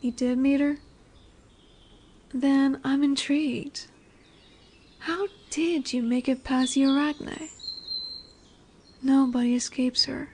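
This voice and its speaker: A woman's voice: high-pitched, steady tone, takes it slowly, long pauses. A woman voicing a large lamia, a seductive serpent woman who is commanding yet soothing, in a formal, hypnotic, coaxing manner for a roleplay audio drama. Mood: intrigued, teasing. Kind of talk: roleplay audio drama with soft whispered passages. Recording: noisy woodland ambience with birdsong. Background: birdsong and forest ambience.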